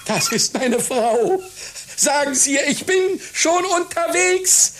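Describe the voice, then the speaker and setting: An actor playing a vain, neurotic musical clown in a satirical radio play, declaiming pompously and imperiously. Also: male voice, very high-pitched, lively intonation, takes it slowly, a bit bright, heavily acted. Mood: frantic, anxious. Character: vain, neurotic male musical clown, dependent on his wife. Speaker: concert clown.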